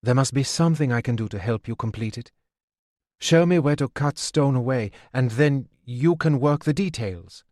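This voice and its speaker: A man reading the part of a loyal, earnest queen in a steady, plain voice, with no strong change from his own. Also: mid-range voice, normal speed, no echo, studio quality, close to an everyday voice.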